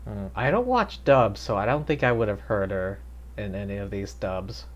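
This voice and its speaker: A man's voice: fairly low pitch, lively intonation, normal speed, highs cut off. A man streaming a video game live, chatting casually and reacting aloud with laughter. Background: faint game music.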